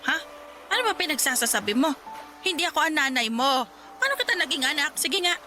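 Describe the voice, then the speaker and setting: An old mother in a radio drama, worn down and full of guilt. She speaks haltingly and humbly, as one who lives alone and asks for little. Clear dramatic music plays beneath her. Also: high-pitched, sing-song, sharp tone, exaggerated voice. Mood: startled, wary. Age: older. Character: weary, guilt-ridden old mother living alone in a shanty. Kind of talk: Tagalog radio drama acting. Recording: music under the dialogue.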